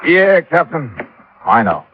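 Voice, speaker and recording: A man's voice: a little high, very animated, slow pace, breathy edge, heavily acted. An American actor playing a tough, laconic insurance investigator who tells his case in a clipped, wry 1950s radio detective style. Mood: weary, explaining. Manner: clipped, wry, hard-boiled. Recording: muffled old radio recording, faint music.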